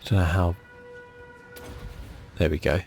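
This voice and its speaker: A man giving relaxed, casual ASMR game commentary in a soft, breathy, half-whispered voice, musing to himself as he plays. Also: low-pitched, slow, faint music behind. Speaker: gaming channel host.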